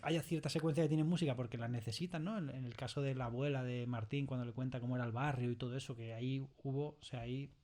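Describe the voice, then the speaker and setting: A man with a Castilian Spanish accent speaks as an interviewed creator in a studio. He explains things in an animated, conversational way, often repeating words for emphasis and tagging his phrases with short questions. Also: mid-range voice, fast talker, hardly any pauses. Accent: Spain. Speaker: audio fiction creator being interviewed. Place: recording studio.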